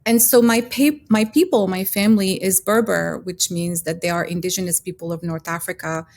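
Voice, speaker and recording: A woman's voice: on the low side, fairly even intonation, normal speed, darkish tone. A middle-aged woman with a Moroccan accent, chatting as a podcast guest in a hesitant, reflective way. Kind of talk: casual interview chat.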